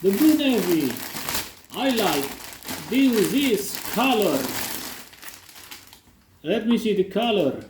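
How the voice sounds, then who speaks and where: A man with a Russian accent talks through an unboxing in a high, animated voice. He speaks very slowly in halting English, with long pauses between phrases. The room around him is echoey. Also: a little hiss or hum. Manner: slow, halting non-native English with pauses. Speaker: unboxing vlogger. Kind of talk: casual unboxing commentary.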